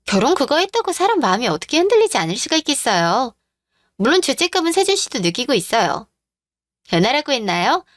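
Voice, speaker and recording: A brazen mistress in a radio drama, played by a woman pitching her voice up, sweet on the surface and condescending underneath. She sounds shameless and self-assured, and the recording is dry. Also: sing-song, fast talker, no echo. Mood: smug. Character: brazen smug young mistress.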